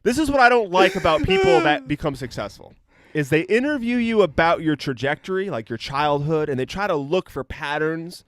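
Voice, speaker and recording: A man in his thirties with a slightly high voice chats on a podcast, rambling from joke to joke and trailing off mid-thought. The sound is dry and close, with no room around it. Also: lively intonation, fairly quick, hardly any pauses, a bit bright, no echo. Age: 30s. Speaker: podcast co-host. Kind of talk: casual chat.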